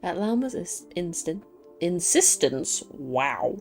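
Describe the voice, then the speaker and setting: A woman's voice: fairly low pitch, big pitch swings, slow, darkish tone, faint music behind. A woman gaming streamer giving playful, effusive commentary and reading game text aloud. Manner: effusive, playful, cooing over characters.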